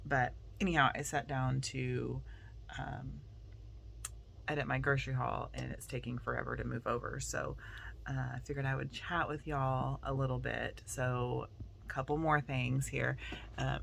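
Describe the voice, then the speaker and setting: A middle-aged Southern woman talks into her phone held at arm's length, rambling along with plenty of fillers. Her voice carries a gravelly fry, and faint noise hums in the background. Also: rumbling low, a bit bright. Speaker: vlogger and teacher. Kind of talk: casual vlog chat to camera. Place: outside by her car at school, then indoors at night.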